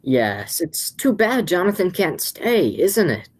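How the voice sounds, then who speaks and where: A woman's voice: on the low side, very animated, slow pace, darkish tone, breathy edge, exaggerated voice. A woman playing a flustered, scheming man in an amateur radio play. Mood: flustered, insistent. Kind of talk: radio play acting, high school theatre.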